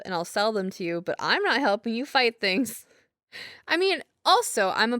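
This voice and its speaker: A woman's voice: a little high, no room sound, close to an everyday voice. Woman playing a rambling, self-deprecating girlfriend, close and dry. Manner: chatty, self-deprecating rambling with frequent giggles. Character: apologetic, rambling gamer girlfriend, young adult, affectionate. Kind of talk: girlfriend ASMR audio roleplay, casual improvised-sounding chat.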